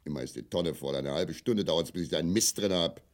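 An actor playing a coarse, bullying, self-pitying middle-aged East Berlin husband, grumbling and snapping in a grotesque German radio play. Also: male voice, deep voice, fast talker, natural voice. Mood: bitter grumbling.

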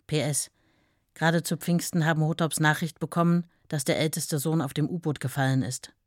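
An actress playing a wistful, affectionate wife reading her letter to her travelling husband in a radio play, in a gentle manner. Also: low voice, fairly even intonation, a little fast, dry recording, studio quality. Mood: wistful, restrained.

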